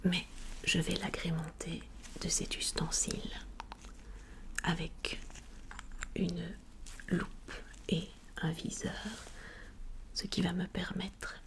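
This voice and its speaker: A woman whispers in a low register, as in an ASMR doctor's visit. Her delivery is very slow and deliberate, with a creaky edge. She stays soft and formally polite throughout. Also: flat delivery. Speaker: ASMR creator playing a doctor giving an exam. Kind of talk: whispered ASMR medical roleplay.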